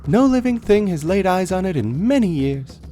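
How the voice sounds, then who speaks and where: A performer voicing a wary, brave fantasy ranger with an emphatic, dramatic manner. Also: female voice, fairly low pitch, sing-song, moderate pace, dark tone, slight background noise, voice pushed up.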